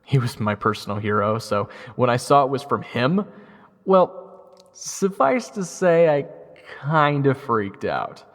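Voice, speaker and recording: A man's voice: medium pitch, lively intonation, a little slow, in a large hall. A young man narrating his own story in first person, wry and self-deprecating. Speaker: narrator and lead.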